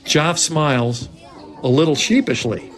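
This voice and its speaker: Mid-pitched narrator reading screenplay directions. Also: unhurried. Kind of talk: scripted screenplay narration at a table read.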